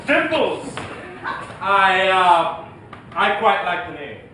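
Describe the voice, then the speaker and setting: A distant, very echoey theatre recording. a placating husband with a high, animated voice, hesitant and conciliatory in stage comedy style. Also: male voice, slow, a little hiss or hum, exaggerated voice. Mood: genial, flustered. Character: genial, placating husband smoothing things over. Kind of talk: comedic stage play acting. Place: theatre stage, far mic.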